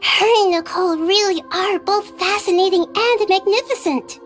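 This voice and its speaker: A high, childlike voice plays a gentle little goddess in a video game. She speaks with measured, earnest formality, old wisdom in a young girl. Light music plays underneath. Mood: reflective. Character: small, wise, gentle young girl deity. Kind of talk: scripted video game dialogue.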